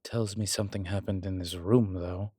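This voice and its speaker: A man playing a wry, world-weary demon investigator in a horror roleplay audio, speaking in hesitant, measured, musing phrases with dry humour. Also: low-pitched, steady tone, dark tone, no echo. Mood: pensive, wary. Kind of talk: horror roleplay audio, intimate one-sided dialogue.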